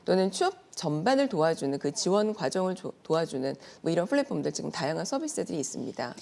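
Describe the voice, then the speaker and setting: A woman's voice: lowish voice, sing-song, fast talker, gravelly, a little mellow. A woman speaking as an expert guest in a news segment, explaining things politely and point by point in a prepared, organized way.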